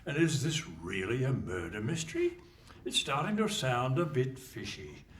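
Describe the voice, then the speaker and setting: Animated male narrator, off the mic. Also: mid-range voice, moderate pace. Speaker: radio play narrator. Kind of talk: theatrical scripted narration, comic. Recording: live hall stage, distant mic, faint noise.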